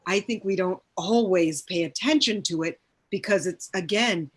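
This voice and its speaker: A woman's voice: on the low side, normal speed, slightly rough. A woman actor talking reflectively in a panel conversation, searching for words and stressing her points, often saying "like". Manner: searching, emphatic, repeats "like". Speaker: stage actor in a cast discussion.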